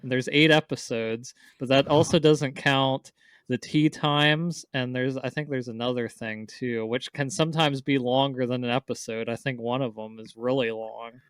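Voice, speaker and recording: A man's voice: medium pitch, fairly even intonation, normal speed, clear, resonant tone, dry recording. A man chatting casually as a podcast co-host, explaining things in an informal, rambling way. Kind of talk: casual chat, discussing visual novels.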